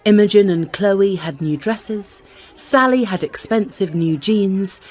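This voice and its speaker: A woman's voice: medium pitch, takes it slowly, a little mellow. A woman narrating a children's story from a script.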